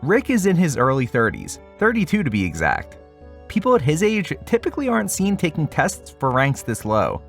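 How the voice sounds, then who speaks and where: Male video essay host, lively intonation, sardonic casual asides over scripted narration, light music underneath. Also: mid-range voice. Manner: wry, sarcastic asides.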